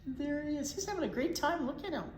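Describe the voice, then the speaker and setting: A woman reading a picture book aloud to young children as a storytime teacher, expressively, with deliberate pauses and little asides. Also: high-pitched, expressive, a little mellow. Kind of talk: animated picture book read-aloud for preschoolers. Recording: roomy echo, faint background noise.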